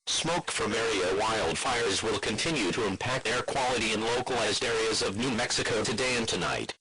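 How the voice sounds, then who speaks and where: Male text-to-speech announcer, lowish pitch, formal and flat, for scripted emergency broadcast alerts. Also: rough recording.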